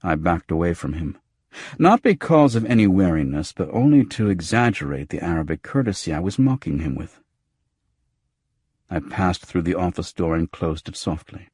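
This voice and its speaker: Male audiobook narrator for noir detective fiction: low register, husky grain, close dry sound. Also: big pitch swings, normal speed, darkish tone, dry recording. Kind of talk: scripted first-person noir narration.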